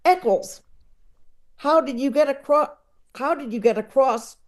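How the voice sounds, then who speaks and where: Radio comedy dimwit and straight man voiced by a woman, silly, raised pitch. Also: measured pace. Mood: goofy, baffled. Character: dim-witted comic radio fool and his questioner.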